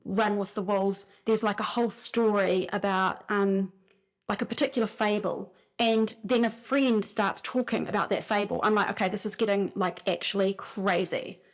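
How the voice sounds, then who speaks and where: A woman with a New Zealand accent talks casually about spiritual signs, telling her stories with animated, rambling energy. Her voice sits at a middle pitch for a woman, and she fills her sentences with "like" as she goes. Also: moderate pace. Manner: animated storytelling, frequent "like" fillers. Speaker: spiritual speaker.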